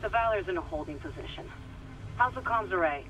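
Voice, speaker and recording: A woman's voice: fairly low pitch, animated, a little fast, over music, natural voice, rough recording. An actress playing an urgent young woman technician in a dramatic science fiction game. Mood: tense.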